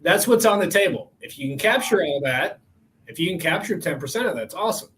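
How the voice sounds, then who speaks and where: A man's voice: high voice, lively intonation, quick pace, a bit bright, slight background noise. A man in his forties hosting a coaching podcast, talking in an emphatic, motivational way. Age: 40s. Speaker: podcast host.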